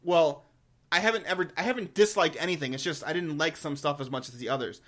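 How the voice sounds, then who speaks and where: A man's voice: fairly high pitch, fast, a bit bright. A man giving casual, enthusiastic video commentary as a host, rambling informally and often repeating words as he goes. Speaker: host of a game lore review channel.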